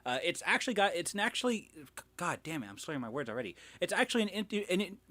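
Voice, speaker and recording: A man's voice: sits high, big pitch swings, fast, sharp tone, no room sound. A man hosting a livestream in casual chat, rambling and self-deprecating, with some swearing. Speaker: livestream host.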